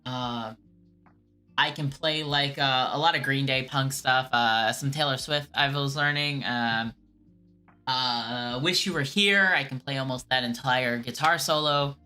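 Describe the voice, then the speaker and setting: A woman streamer who does scam baiting, answering viewer questions in a halting, earnest way with frequent restarts. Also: a bass voice, bright, forceful tone, faint music behind.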